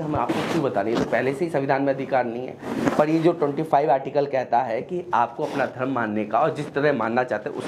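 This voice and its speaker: A news presenter explains to viewers in a didactic way, posing questions and answering them. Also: medium pitch. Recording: clearly noisy background.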